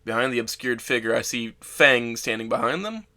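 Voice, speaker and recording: A man's voice: medium pitch, lively intonation, moderate pace, bright, forceful tone. A man playing a bitter, self-loathing young man who narrates his own story in a sardonic, brooding way for an audio drama. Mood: pained, cynical.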